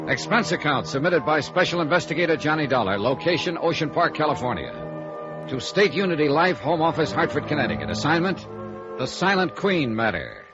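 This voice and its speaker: Lively, sardonic American radio detective. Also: male voice, on the high side, a little fast. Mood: brisk, wry narrating. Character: sardonic, hard-boiled freelance insurance investigator. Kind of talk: 1950s radio detective drama acting and narration. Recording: dull vintage radio recording with music.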